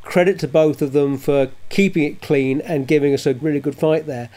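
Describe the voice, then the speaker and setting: Measured, analytical male co-host. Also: a little high, steady tone, dark tone. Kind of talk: sports commentary chat.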